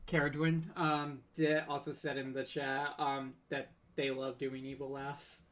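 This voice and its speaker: A man co-hosting a casual livestream chat, speaking in a hesitant, halting way with stammers. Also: medium pitch, monotone, fairly bright tone. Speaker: co-host of a panel discussion.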